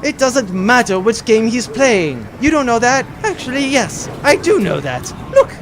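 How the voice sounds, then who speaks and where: A man's voice: extremely high pitch, big pitch swings, a little slow, a crowd in the background, heavily acted. A man playing a pompous, condescending, argumentative baron henchman in a comedic audio drama. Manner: bickering, condescending.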